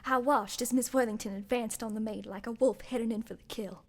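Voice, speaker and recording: A woman's voice: fairly high pitch, steady tone, fairly quick. A woman playing a journalist who narrates a murder mystery in a scripted, dramatic radio play style. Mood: dramatic suspense.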